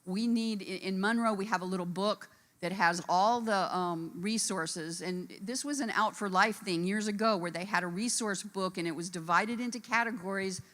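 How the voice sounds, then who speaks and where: A woman's voice: mid-range voice, moderate pace, hardly any pauses, a bit bright. A woman on a conference panel who works helping incarcerated women, speaking earnestly and addressing the audience directly in a conference room. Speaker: conference panelist, reentry support worker.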